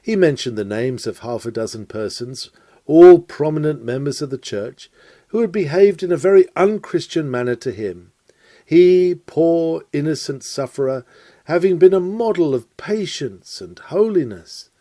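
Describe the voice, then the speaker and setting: A man reading an old-fashioned sermon lecture aloud in a measured, rhetorical way with emphatic phrasing. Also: on the high side, lively intonation, warm tone, dry recording. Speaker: reader of a recorded sermon lecture. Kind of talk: scripted read-aloud of a Victorian lecture.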